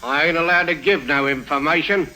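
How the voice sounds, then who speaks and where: An actor playing a big, tough but amiable Cockney guard in a chummy, slangy way, in a 1950s radio drama. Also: male voice, a little high, a little fast, pushed and bright, poor audio. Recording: hissy old 1950s radio recording.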